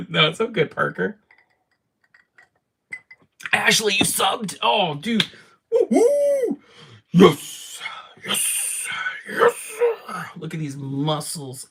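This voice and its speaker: A man's voice: on the high side, creaky, fairly bright tone, slight background noise. A man in casual livestream chat from his game room, answering viewer comments in a rambling way and laughing at himself often. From Canada. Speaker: streamer.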